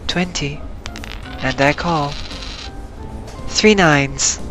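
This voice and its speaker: Casting: male actor as smug avian alien, unhurried dismissive delivery, sci-fi audio drama over music. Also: a little high. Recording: music behind voice.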